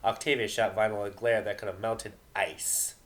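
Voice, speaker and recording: A man giving an informal, expressive dramatic narration of fan fiction, sometimes stumbling over the text. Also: on the low side, moderate pace.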